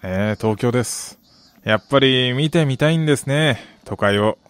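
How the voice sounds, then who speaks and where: An actor playing a middle-aged, wistful gambling soccer fan in a radio drama, polite and measured with a rueful, quietly menacing edge. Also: male voice, mid-range voice, smooth, dry recording, close to an everyday voice. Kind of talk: Japanese radio drama acting.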